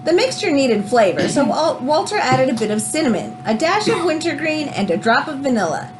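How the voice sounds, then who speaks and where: A woman reads a children's book aloud in an expressive, teacherly way, adding explanatory asides as she goes. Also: high-pitched, a little fast, noisy background.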